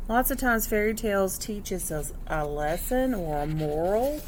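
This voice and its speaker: A woman's voice: mid-range voice, expressive, normal speed, slight background noise, poor audio. A woman teacher reading a picture book aloud to children, expressively, with instructive asides and questions for young listeners.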